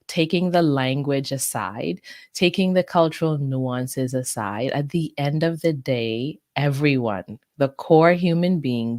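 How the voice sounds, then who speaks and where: Woman from the Bahamas, deep voice, dry sound, articulate, measured, professional interview guest, listing points clearly. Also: dry recording. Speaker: guest, communications coach and speaker. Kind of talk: interview answers, professional self-introduction.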